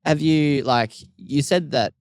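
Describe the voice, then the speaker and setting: A man co-hosting a podcast, asking relaxed, rambling questions full of fillers. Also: a little high, slow, no echo, clean studio sound. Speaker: podcast co-host. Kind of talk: casual interview questions.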